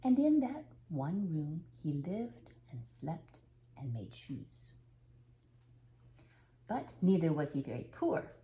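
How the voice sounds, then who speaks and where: An older woman reading a children's storybook aloud, unhurried and expressive, with dramatic pauses. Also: low-pitched, slight background noise.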